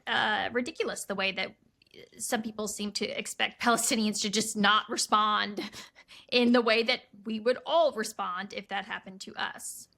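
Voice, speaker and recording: Female podcast co-host, American, fairly high bright voice, casual chat. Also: vocal fry.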